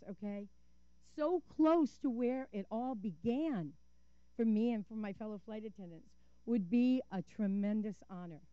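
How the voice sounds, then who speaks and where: An older woman speaks at a podium microphone in a council chamber, telling her story earnestly and vividly. Her voice is mellow and dry, with slightly dull, lo-fi sound. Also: fairly high pitch, clear, resonant tone, no room sound. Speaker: public commenter at a council meeting. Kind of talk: prepared public comment with personal storytelling.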